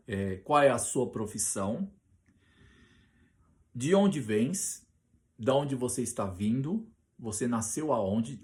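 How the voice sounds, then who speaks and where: A Brazilian man preaching on a Bible passage, often restarting his sentences and slowing down to read scripture aloud with emphasis. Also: mid-range voice. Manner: hesitant with many restarts, reads scripture slowly and loudly.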